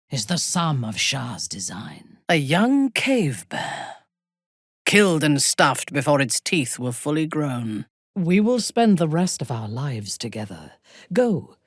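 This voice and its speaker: An imperious dark elf commander from a fantasy game. Her voice is low and husky, close and dry, and she speaks deliberately with haughty menace, her cold lines swinging widely in pitch. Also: dry recording, full character voice. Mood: bitter, brooding, then commanding. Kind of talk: dramatic video game acting.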